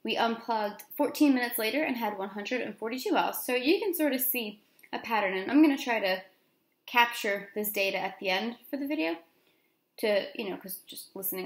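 A middle-aged American woman talking casually to camera in a conversational vlog style, with informal asides, recorded in a room at home. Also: a little high. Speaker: vlogger. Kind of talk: casual vlog recounting from notes. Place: small room at home, indoors. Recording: echoey room.

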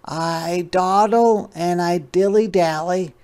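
Slow, drawling storybook sloth, voiced by a man. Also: high-pitched, steady tone, a little mellow, clear, resonant tone, voice pushed up. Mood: placid, drawn-out. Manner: slow, drawn-out delivery. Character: slow, mellow, peace-loving sloth. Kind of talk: storybook read-aloud.

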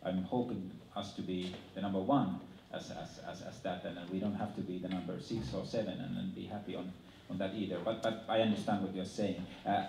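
A Finnish-accented man moderates a panel in a fairly low voice. He speaks haltingly, doubling back on his words. The sound is roomy and somewhat distant, with faint noise behind him. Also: little pitch movement, vocal fry, mellow. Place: large indoor auditorium. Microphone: handheld microphone.

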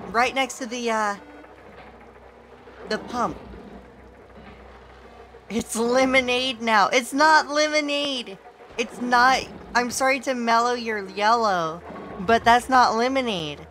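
Giggly woman streamer, slightly hoarse. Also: fairly high pitch, sharp tone, a little hiss or hum. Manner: giggly, playful, exclaims at the game. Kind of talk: casual gameplay commentary.

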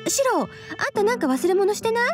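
A bubbly, scatterbrained young woman in a visual novel. Her very high voice swings widely and excitedly, over game music. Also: fast. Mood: puzzled. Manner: animated, exclamatory.

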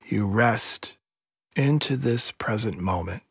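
Casting: male yoga nidra guide, very slow delivery, soft husky tone, soothing and unhurried, close dry sound. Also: fairly low pitch, no room sound. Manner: slow, hushed, soothing, measured instruction with long pauses. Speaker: meditation guide.

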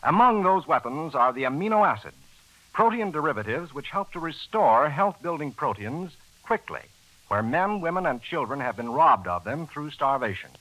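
A man's voice: a little high, big pitch swings, moderate pace, sharp tone, low-quality recording. An American man announcing from a script in the formal style of 1940s radio. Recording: old 1940s radio broadcast, faint music.

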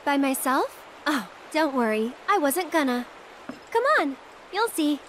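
A woman's voice: sits high, lively intonation, measured pace, fairly bright tone, a little hiss or hum. An actress playing a playful, self-assured young woman with a casual, teasing manner in a video game cutscene. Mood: breezy, reassuring. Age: young adult.

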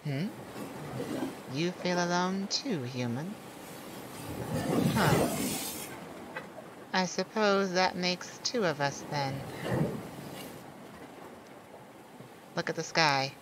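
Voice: medium pitch, slow, long pauses, lightly acted. A performer voicing a proud, lonely male siren in a scripted ASMR roleplay, speaking theatrically and teasingly to one listener. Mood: wistful. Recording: steady background noise of beach ambience. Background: beach and ocean sounds.

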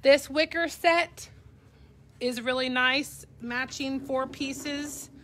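Midwestern woman, slightly high voice, chatty live-stream auction host, phone mic in a warehouse. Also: steady tone, slow pace, fairly bright tone, slight background noise. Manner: upbeat, chatty, talking to live viewers while walking. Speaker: auction company staff. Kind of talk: live walkthrough of auction lots. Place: cluttered warehouse, indoors. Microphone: handheld phone camera.

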